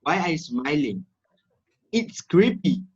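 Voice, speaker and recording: A man plays an agitated student in a stage reading. The voice is high and swings sharply, with words dragged out slowly. The delivery is loud, shrill and accusing. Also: sing-song, husky, lo-fi sound, full character voice. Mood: uneasy. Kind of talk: amateur reader's theater acting.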